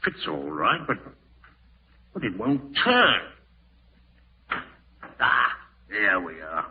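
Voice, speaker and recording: A callous, irritable schemer in an old-time radio horror play. His low voice swings dramatically in pitch as he mutters and snaps. The broadcast is tinny, with some hiss. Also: huge pitch swings, extremely slow, heavily acted. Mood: uneasy, muttering. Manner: muttering to himself, melodramatic, snappish. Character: scheming, callous male relative plotting murder, panicking and irritable. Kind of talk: 1940s radio horror acting.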